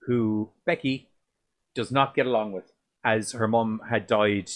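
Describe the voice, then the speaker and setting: Male podcast co-host, deep voice, loose rambling delivery with casual swearing. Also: fairly even intonation, measured pace. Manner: sweary, rambling, restarts often. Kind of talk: casual movie review chat.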